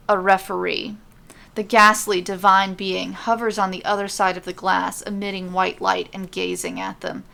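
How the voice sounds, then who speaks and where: A woman's voice: medium pitch, vocal fry, a bit bright. A woman narrating a scripted story as a podcast storyteller.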